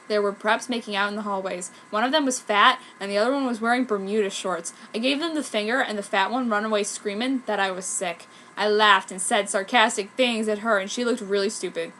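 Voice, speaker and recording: A woman's voice: mid-range voice, fairly bright tone, a little hiss or hum, poor audio. A woman giving a theatrical amateur reading of fan fiction. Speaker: amateur fanfiction reader.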